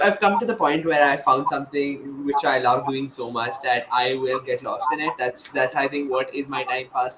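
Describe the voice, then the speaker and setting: Casual male panelist in an echoey, noisy room. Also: medium pitch. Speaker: panelist, startup enthusiast. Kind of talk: casual, unscripted panel answer. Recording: echoey, noisy online call.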